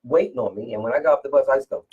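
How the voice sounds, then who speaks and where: Deep-voiced woman telling stories. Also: racing, slightly rough, recorded from a distance, narrow, tinny audio. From Philadelphia. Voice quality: deep strong voice. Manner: animated storyteller, restarts and repeats words. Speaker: guest singer. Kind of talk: casual radio interview storytelling.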